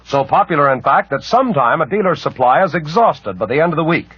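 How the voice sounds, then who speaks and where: American male announcer, 1940s ad read, higher-pitched, husky, dry muffled vintage recording. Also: animated, fast talker, no room sound. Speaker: commercial announcer.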